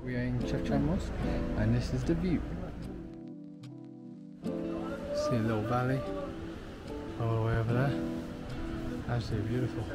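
A man's voice: medium pitch, moderate pace, long pauses. A man in his thirties chatting casually and admiringly as a travel vlogger, recorded outdoors on a handheld camera. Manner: admiring, repetitive exclamations. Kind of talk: casual travel vlog chat. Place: outdoors at a mountain mosque. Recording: loud music under the voice. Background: loud music.

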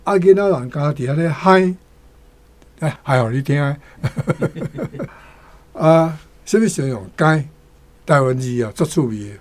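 Slow-speaking older Taiwanese man, higher-pitched. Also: darkish tone. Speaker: guest commentator, teacher. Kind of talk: spoken literary commentary.

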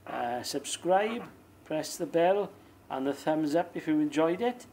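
A man giving a casual hands-on demonstration in an unhurried, plain-spoken way. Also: on the high side, expressive, a little mellow, slight background noise. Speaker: presenter of a radio demonstration video. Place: small indoor room or shed.

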